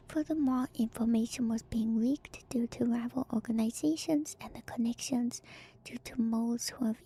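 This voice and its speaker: A woman streamer whispering softly and breathily close to the mic in an ASMR style, gently repeating words as she reads and comments. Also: fairly high pitch, a little hiss or hum, low-quality recording. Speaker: VTuber streamer.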